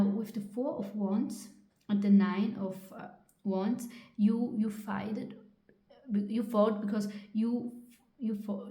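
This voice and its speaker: A woman with a German accent, a native German speaker, giving a tarot reading in a halting way with many pauses and restarts. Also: medium pitch, mellow, reverberant. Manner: hesitant, halting with frequent ums and restarts. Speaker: tarot reader.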